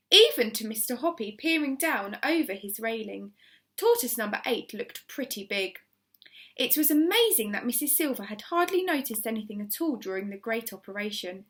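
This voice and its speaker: A woman with a fairly high, very animated voice reads a children's story. She is expressive and emphatic, slowing deliberately on important words like a primary school teacher. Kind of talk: children's story read aloud.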